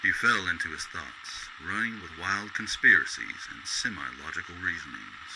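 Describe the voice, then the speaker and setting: A man narrating a scripted radio story in a measured, literary storytelling way. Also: sits low, lively intonation, gravelly, background noise.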